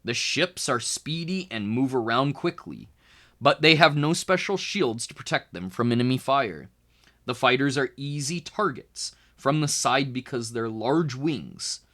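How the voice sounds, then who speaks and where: A man with a mid-pitched voice reads aloud in an educational style, like a tutor working through a children's book. His intonation is very animated, rising and falling widely. He phrases things deliberately and leans on the key words. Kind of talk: expressive educational read-aloud narration.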